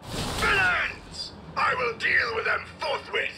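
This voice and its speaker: An actor voicing an ancient, chivalrous robot knight captain in a video game, with a pompous, florid, archaic way of speaking. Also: male voice, extremely high pitch, slow pace, vocal fry, background noise, exaggerated voice. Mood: indignant. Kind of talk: theatrical video game voice acting.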